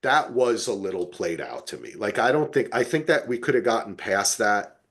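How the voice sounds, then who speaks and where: Low-voiced, sweary man chatting casually. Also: lively intonation, moderate pace. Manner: sweary, emphatic, enthusiastic. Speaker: podcast co-host. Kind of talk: casual fan chat about TV.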